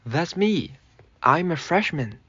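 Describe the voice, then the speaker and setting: An earnest, old-looking male freshman voiced by an actor speaks in a mid-pitched, plain and insistent way. It is radio drama, with a slightly dull, muffled recording and no room sound. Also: sing-song, takes it slowly, a bit bright, slightly rough, dry recording, heavily acted. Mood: matter-of-fact. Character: elderly-looking male college freshman, earnest, stubborn, wronged. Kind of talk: amateur radio drama acting.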